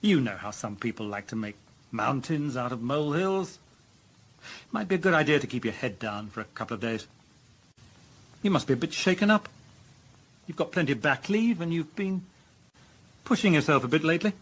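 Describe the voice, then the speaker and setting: British deputy police chief, husky, fairly high-pitched, authoritative, exasperated, sarcastic, radio drama reprimand. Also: male voice, lively intonation, moderate pace, long pauses, fairly bright tone. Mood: placating, firm. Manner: stern, sarcastic, clipped official reprimands.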